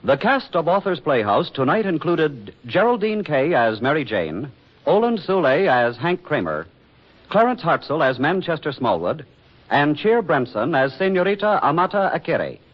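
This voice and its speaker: A man's voice: a little high, big pitch swings, normal speed, highs cut off. A man announcing scripted credits on a 1940s radio broadcast with formal, dramatic enunciation.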